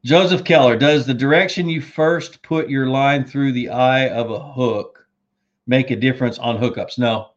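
A man hosts a laid-back fishing chat, answering viewers' questions in an easygoing, conversational way. His voice is mid-pitched and getting hoarse. The audio is a little dull and lo-fi. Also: normal speed. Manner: laid-back, says dude and man, reads viewer comments aloud. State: losing his voice. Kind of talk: casual live chat.

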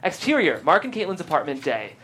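A woman's voice: deep voice, very animated, fast talker, a bit bright, husky. A woman hosting a podcast and reading scripted stage directions as narrator. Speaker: host and stage-direction reader.

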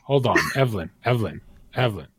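A man's voice: lowish voice, lively intonation, fast, heavy background noise. A man chatting on a podcast, joking and interrupting with mock-pompous bluster. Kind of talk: casual podcast chat.

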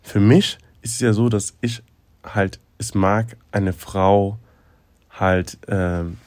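A man's voice: fairly low pitch, fairly even intonation, slow pace, no room sound. A young man co-hosting a podcast, talking casually and hesitantly with lots of fillers. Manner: hesitant, many fillers, laughs loudly. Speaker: podcast co-host. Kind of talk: casual chat.

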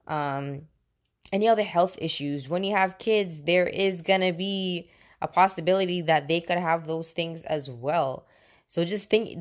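A woman in her late twenties talking casually and candidly as a podcast host, conversational and opinionated in a personal monologue. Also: lowish voice. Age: late 20s.

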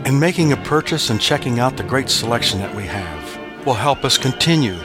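A man's voice: medium pitch, animated, a little fast. A man giving an informal promotional appeal as a podcast producer. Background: music.

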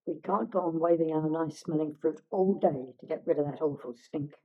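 A man voicing a fussy apricot tree that bargains with its fruit, in a husky, pinched voice. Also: on the high side, normal speed, phone-quality sound.

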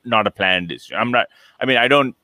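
Relaxed man on a video call. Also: medium pitch, fast talker, no echo. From Sri Lanka. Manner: relaxed, rambling, frequent restarts and chuckles. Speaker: interview guest, entrepreneur. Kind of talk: casual conversational interview.

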